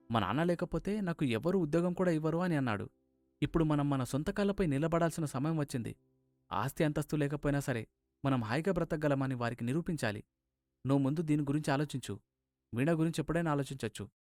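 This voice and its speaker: A man voicing a hurt, earnest young husband in an animated moral story, sounding aggrieved. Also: fairly high pitch, quick pace, dry recording, studio quality, faint music behind, lightly acted. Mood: aggrieved, determined.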